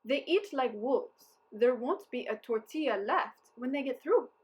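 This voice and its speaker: A woman's voice: high voice, animated, measured pace. A woman voicing a wary, sly male town mayor in a storybook, with emphatic, formal delivery. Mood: grumbling.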